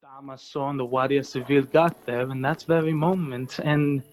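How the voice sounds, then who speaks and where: An actor playing a grave, honorable lieutenant in a radio drama, speaking in a solemn, measured storytelling way. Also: male voice, mid-range voice, steady tone, natural voice.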